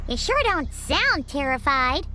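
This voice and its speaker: A woman voicing a tiny, excitable female companion in a video game, with a squeaky, childlike voice and a blunt, curious manner. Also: over music. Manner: blunt, curious, quick to question.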